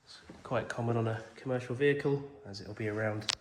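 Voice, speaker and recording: A man's voice: mid-range voice, fairly even intonation, brisk. A man who has a cold, walking round a pick-up and describing its condition in a plain, methodical, reassuring way. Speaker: car salesman. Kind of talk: walk-around vehicle video. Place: outdoors around a vehicle. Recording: echoey, with faint noise.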